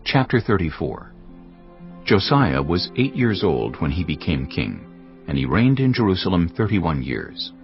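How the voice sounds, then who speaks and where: A man narrating scripture as a scripted drama, in a solemn, measured and expressive way. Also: sits low. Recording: dull recording with music underneath.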